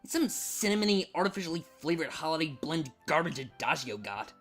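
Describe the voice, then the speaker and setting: A man's voice: fairly high pitch, big pitch swings, fairly quick, a bit bright, faint music behind, heavily acted. A man voicing a grumpy, scornful young female cartoon pony in a fan story reading, full of sarcastic grumbling. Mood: irritated grumbling.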